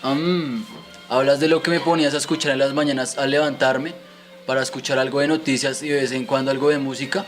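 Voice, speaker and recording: A man's voice: mid-range voice, steady tone, a little fast, soft background music, natural voice. A man playing a curious, respectful son who puts scripted questions to his father in an educational radio drama.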